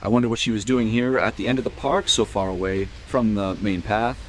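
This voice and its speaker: Crime radio play: male actor as deliberate, matter-of-fact sergeant, lowish pitch, faint background noise. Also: steady tone, lightly acted. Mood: analytical, sober.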